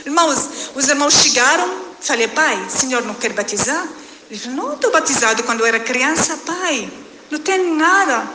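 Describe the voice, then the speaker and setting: A middle-aged woman from Syria, giving a fervent church testimony in halting phrasing and calling out to the congregation. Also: sits high, animated. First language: Arabic. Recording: echoey hall with background noise.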